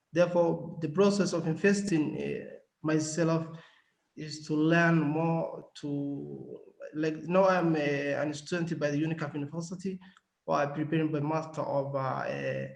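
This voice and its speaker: A man from Somalia, a student speaking haltingly with many restarts during a webinar. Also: on the high side, gravelly, roomy sound. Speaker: student participant. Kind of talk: spontaneous remarks in a webinar. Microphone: video call.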